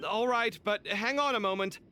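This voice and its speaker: A man playing a busy, apologetic young man in a video game, speaking in a hurried, harried manner. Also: high voice, sharp tone, faint music behind. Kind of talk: video game character acting.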